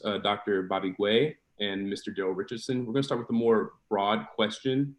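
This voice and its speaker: A man moderating a panel, putting a question in a semi-formal way. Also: on the low side. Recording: echoey room sound.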